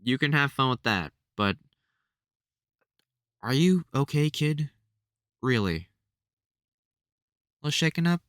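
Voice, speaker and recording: A man's voice: mid-range voice, expressive, slow pace, pauses often, a bit bright, clear, resonant tone, no echo. Man acting a protective vampire hunter, close and dry. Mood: wry, concerned. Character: gruff but soft-hearted male vampire hunter, protective mentor. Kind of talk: intimate audio roleplay acting addressed to the listener.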